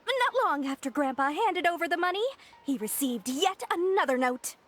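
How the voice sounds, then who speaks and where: Very high-pitched, plaintive young granddaughter. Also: female voice, expressive, normal speed, a bit bright, faint music behind. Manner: plaintive, melodramatic. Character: distressed, earnest young granddaughter pleading with police. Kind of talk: video game voice acting.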